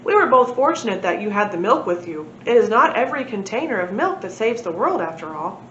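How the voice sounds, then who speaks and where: A woman's voice: mid-range voice, very animated, fairly quick, a bit bright. Woman reading as courteous dinosaur professor, echoey room. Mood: gracious. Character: learned female dinosaur professor, courteous and self-assured. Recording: echoey room, muffled, noisy.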